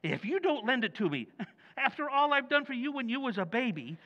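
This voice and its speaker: Casting: male actor as bumbling middle-aged American dad for vintage radio comedy, high voice swooping anxiously, flustered pleading, slightly dull recording. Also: very animated, fairly quick, bright, forceful tone, full character voice. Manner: flustered, pleading, colloquial working-class talk. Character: bumbling, guilt-ridden middle-aged American husband and father. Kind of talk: old-time radio comedy acting.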